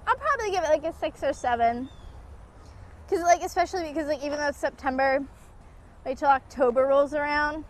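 Blunt young woman, high voice. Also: fairly quick. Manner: blunt, casual swearing. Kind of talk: casual chat. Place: outdoors in a sunny cemetery.

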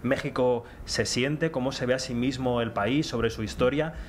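A man's voice: mid-range voice, normal speed. Male radio interviewer, middle-aged, Spain accent, conversational, handheld mic, faint ambient noise. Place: indoor festival interview booth. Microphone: handheld microphone held close. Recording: light ambient noise behind the voice.

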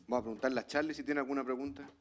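A man hosting a conference Q&A in a relaxed, friendly way. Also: mid-range voice, racing, faint music behind. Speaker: event host. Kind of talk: casual moderation.

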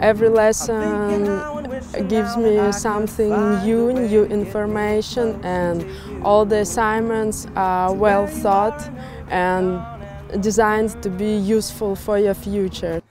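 A woman's voice: mid-range voice, little pitch movement, takes it slowly. A young woman from Russia with a Russian accent, speaking earnestly as a music student in an interview outdoors. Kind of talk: interview testimonial. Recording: music bed under the voice.

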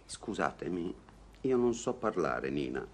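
An actor playing an awkward, earnest gentleman newly in love, speaking theatrically in a vintage Italian radio comedy. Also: low-pitched, big pitch swings, measured pace, breathy edge, exaggerated voice. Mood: troubled, tender. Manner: theatrical, hesitant declarations.

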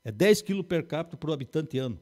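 A man in his fifties with a gaúcho accent from southern Brazil, a forestry engineer explaining as a guest in a small TV studio, reciting figures in a steady way. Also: fairly high pitch, fast talker. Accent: Rio Grande do Sul gaúcho Portuguese.

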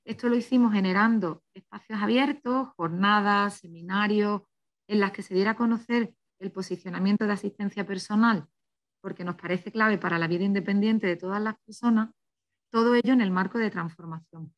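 A woman gives a prepared conference talk haltingly, slightly muffled by a face mask. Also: medium pitch. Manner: halting, with repeated words and pauses. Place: small office, indoors. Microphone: video call computer microphone, through mask. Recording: dull lo-fi video-call audio.

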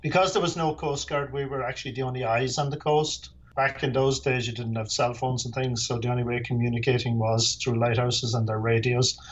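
A man's voice: mid-range voice, steady tone, brisk, hardly any pauses, dull audio. A middle-aged man with an Irish accent, a former lighthouse keeper answering interview questions in a plain, matter-of-fact way with dry humor. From Ireland.

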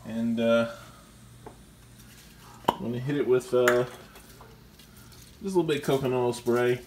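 A man's voice: mid-range voice, fairly even intonation, measured pace. A man casually reviewing a skillet while he cooks in his home kitchen, talking in a chatty, enthusiastic way with restarts and fillers. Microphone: camera mic, speaker off screen. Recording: echoey kitchen with faint background noise.

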